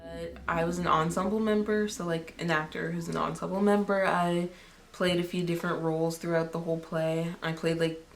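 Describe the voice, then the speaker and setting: Low, creaky young woman, noisy. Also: level tone, moderate pace. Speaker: student actor. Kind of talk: casual interview. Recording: noisy recording.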